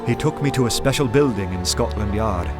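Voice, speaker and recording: Man acting detective storyteller, lowish pitch, measured, literary, dramatic, radio drama, clear music underneath. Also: animated, close to an everyday voice. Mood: brooding, dramatic. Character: earnest male detective recounting his undercover adventure. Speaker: narrator and lead character. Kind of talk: radio play narration and dialogue.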